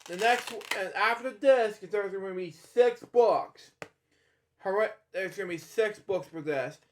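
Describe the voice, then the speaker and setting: A man reading a children's storybook aloud, stumbling, restarting and adding rambling side comments. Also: high-pitched. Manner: halting, with frequent restarts and filler comments.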